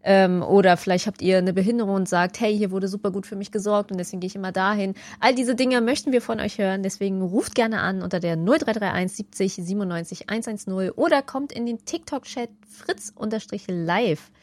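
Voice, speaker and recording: A woman's voice: medium pitch, brisk, few pauses, a little mellow, dry recording. Upbeat female radio host, dry studio. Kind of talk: casual radio talk.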